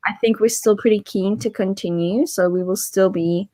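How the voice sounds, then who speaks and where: A woman's voice: mid-range voice, fairly even intonation, moderate pace, dark tone. A woman chatting casually as co-host of a livestream about books, on a video call. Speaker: co-host of a book readalong livestream. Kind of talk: casual chat.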